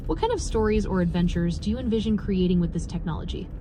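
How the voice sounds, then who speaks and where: A woman with a mid-range voice conducts an interview in a formal, courteous manner. Her affirming questions sound scripted. There is clear rumbling noise behind her. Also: very animated, fast. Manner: polite, formal, affirming before each question. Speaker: interviewer, AI reporter. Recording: noisy recording with vehicle-like rumble.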